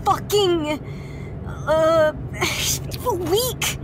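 A woman's voice: extremely high pitch, very slow, full character voice. An actress playing a bitter, foul-mouthed fourteen-year-old girl in an audio drama, blunt and sarcastic in teenage slang. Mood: angry, exasperated. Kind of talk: naturalistic scripted audio drama acting. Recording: noisy outdoor ambience with vehicle sounds.

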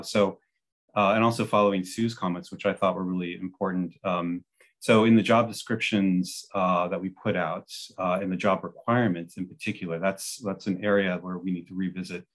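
A man's voice: sits low, steady tone, fairly quick. A man archivist on a video call panel, speaking briefly and practically with frequent fillers.

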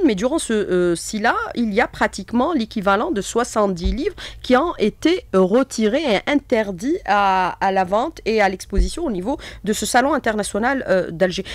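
A woman's voice: medium pitch, very animated, normal speed, no room sound. A woman asking probing questions as a radio news interviewer. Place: radio studio.

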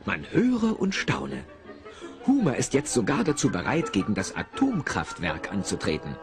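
A man narrating a scripted story in a colloquial, wry and animated way, with a husky voice. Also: mid-range voice, moderate pace, music in the background.

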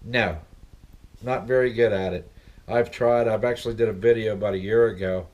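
A man's voice: on the low side, fairly even intonation, normal speed, darkish tone, dull audio. A middle-aged man hosting a casual vlog from his living room, chatting and rambling with lots of questions for his viewers. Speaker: vlog host. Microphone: laptop webcam, seated at desk.

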